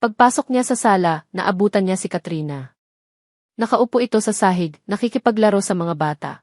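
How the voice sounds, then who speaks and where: A woman's voice: medium pitch, expressive, brisk, darkish tone, dry recording, studio quality. A woman reading a scripted romantic drama as a story narrator.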